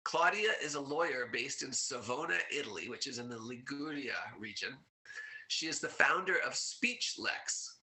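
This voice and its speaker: A man's voice: mid-range voice, creaky, sharp tone, low-quality recording. A man hosting a legal English podcast, reading a scripted intro and then chatting casually with his guest in an upbeat, teacherly way. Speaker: podcast host.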